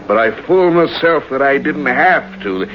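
A man's voice: mid-range voice, animated, normal speed, lightly acted. An actor playing an unhappily married man confessing murder plans, in mid-century radio noir style. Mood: weary, confessional. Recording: muffled, noisy vintage radio recording.

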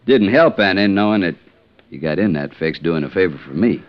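Folksy good-natured lawman, lowish voice that bounces around, old radio western, muffled recording. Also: lively intonation, brisk. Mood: jovial. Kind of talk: 1950s radio western acting. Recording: dull vintage 1950s radio recording.

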